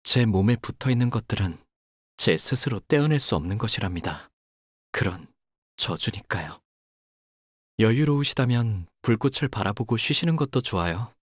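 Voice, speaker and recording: Resigned young curse wielder for a game: low, formal, measured, very dry sound. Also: male voice, animated, no echo. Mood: calm, somber. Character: solemn, softly spoken young curse-talisman wielder, resigned and polite. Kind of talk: video game character voice lines.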